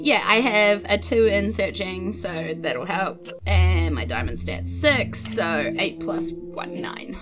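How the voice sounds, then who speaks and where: A woman's voice: fairly low pitch, takes it slowly, few pauses. A woman with a New Zealand accent chatting casually as a player in a tabletop game. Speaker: player in a tabletop RPG podcast. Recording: music bed behind. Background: background music.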